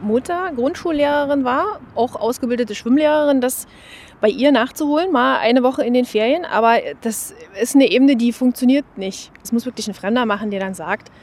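A woman's voice: on the high side, brisk, darkish tone. Matter-of-fact woman in her forties outdoors. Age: 40s. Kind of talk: interview answers.

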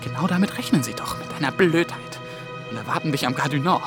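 An actor playing a frantic, self-reproaching young intern in a radio drama, talking to himself in agitated fragments. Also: male voice, fairly high pitch, lively intonation, quick pace, natural voice. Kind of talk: radio drama acting, agitated inner monologue. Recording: radio drama with loud music behind.

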